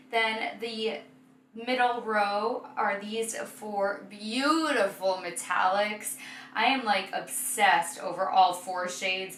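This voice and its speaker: A woman beauty vlogger, a little hoarse, chatting enthusiastically through a makeup tutorial. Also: on the high side, pushed and bright, slight background noise. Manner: gushing, enthusiastic. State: slightly hoarse from overuse. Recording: echoey room.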